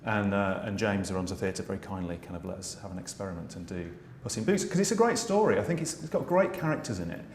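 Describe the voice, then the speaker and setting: A low-voiced middle-aged British man talks through his pantomime work in a relaxed, halting way, full of restarts and fillers. The room is echoey, and faint noise sits behind him. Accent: British English. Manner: hesitant, many restarts and fillers. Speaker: panto writer, director and dame. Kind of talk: relaxed interview chat.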